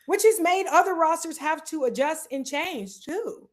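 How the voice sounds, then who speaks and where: A woman co-hosting a live sports talk show, chatting casually and animatedly with quick asides. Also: extremely high pitch, lively intonation. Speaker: podcast co-host.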